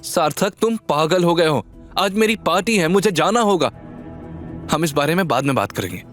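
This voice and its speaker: A man's voice: fairly high pitch, expressive, moderate pace, faint music behind, voice pushed up, natural voice. Man voicing frightened, firm young woman, audio drama. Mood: nervous, firm.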